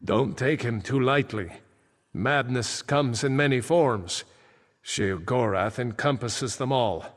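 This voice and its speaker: An actor playing a solemn ancient archmage back from death, speaking gravely and formally in fantasy video game dialogue. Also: male voice, mid-range voice, slow pace. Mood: grave, warning. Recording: echoing game hall with long reverb.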